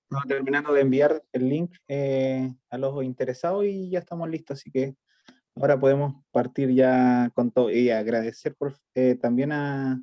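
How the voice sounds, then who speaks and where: A man's voice: mid-range voice, no echo, muffled recording. A man organizing an online beer talk, chatting in an upbeat, joking way on a video call. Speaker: event organizer. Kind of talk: casual video call chat. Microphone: computer mic on a video call.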